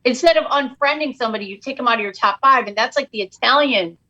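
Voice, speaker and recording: A woman's voice: a little high, quick pace, bright, forceful tone, lo-fi sound. A woman comedian guest on a podcast, joking and self-mocking in casual chat.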